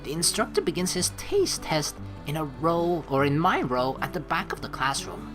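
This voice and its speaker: Female let's play host, low voice, lively intonation, chatty reading and reacting over game music. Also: moderate pace. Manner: playful, chatty, reacts to the story. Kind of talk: game playthrough, reading narration aloud with casual commentary.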